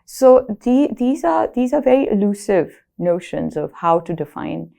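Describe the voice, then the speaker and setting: A woman's voice: mid-range voice, very animated, unhurried, gravelly, dark tone. A woman from Pakistan, an artist, speaking thoughtfully in an interview, asking herself questions and restarting often. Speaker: manuscript painter. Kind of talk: documentary interview.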